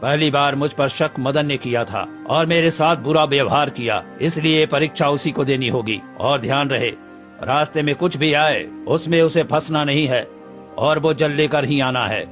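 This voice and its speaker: A man acts out the spirit of a wronged holy man in a cartoon moral tale. He is stern and grave, handing down commands in a slightly high male voice, with music behind him. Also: moderate pace, fairly bright tone, lightly acted. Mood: grave, commanding. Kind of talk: Hindi moral-story cartoon dialogue.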